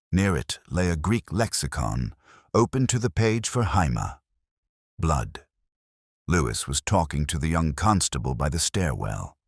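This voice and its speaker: A man playing a weary, melancholy police inspector, narrating a detective drama in the first person in a measured, reflective, solemn way. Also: extremely low pitch, dry recording, studio quality, full character voice.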